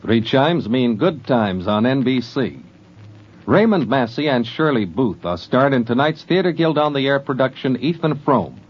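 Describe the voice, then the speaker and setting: A man's voice: medium pitch, expressive, normal speed, highs cut off, slight background noise. A man announcing network programs in the scripted style of 1940s radio, on an old broadcast recording.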